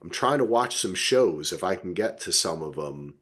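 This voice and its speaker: A man's voice: sits low, lively intonation, normal speed, fairly bright tone, breathy edge. A man from New Jersey with an American accent, chatting casually as a podcast host with sweary, buddy-like banter. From New Jersey, United States.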